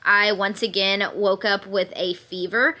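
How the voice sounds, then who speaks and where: Vlogger, 20s, feverish and congested, light laughs, crisp bright tone, bedside camera. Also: female voice, mid-range voice, fairly even intonation, unhurried. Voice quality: occasional cough, slightly stuffy nose. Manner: chatty, laughs through her complaints. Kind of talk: casual chat to camera. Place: in bed at home, bundled in a sweatshirt.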